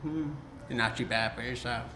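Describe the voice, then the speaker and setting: A man in his twenties, an actor, answering interview questions haltingly, often asking for them to be repeated. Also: medium pitch, echoey room, noisy background. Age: 20s.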